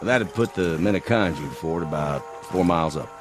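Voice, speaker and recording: An actor playing a seasoned, sardonic army scout in a western radio drama, dry and unhurried. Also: male voice, low-pitched, lively intonation, over music. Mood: dry, wary.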